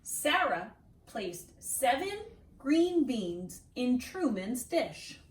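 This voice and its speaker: A woman reading a children's storybook aloud as a read-aloud host, expressive and deliberate, with dramatic pauses and emphasis. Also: on the high side, slight background noise. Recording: roomy echo, distant microphone.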